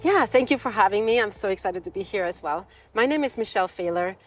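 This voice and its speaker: A woman's voice: mid-range voice, lively intonation, fast, faint music behind. Enthusiastic woman from Switzerland. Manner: enthusiastic, storytelling. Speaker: design professor, guest. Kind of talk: conversational interview. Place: outdoors in a desert botanical garden.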